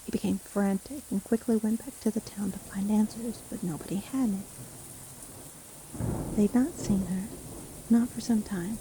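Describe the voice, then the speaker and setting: Very dark mellow voice, woman acting as sly forest witch, gentle, coaxing, unhurried, fantasy audio roleplay, rain noise behind. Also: medium pitch, close to an everyday voice, low-quality recording. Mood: hushed storytelling. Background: rain storm ambience.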